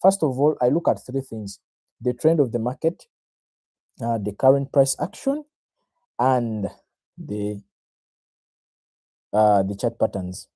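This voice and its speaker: Ugandan man, Luganda native, very mellow dark tone, mid pitch, dry sound; confident, informal trading-stream explainer who repeats himself. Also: takes it slowly, long pauses, no echo. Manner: self-assured, addresses viewers directly, repeats phrases, checks in with "right?". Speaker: trader, livestream host. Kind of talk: informal live market analysis, explaining to viewers.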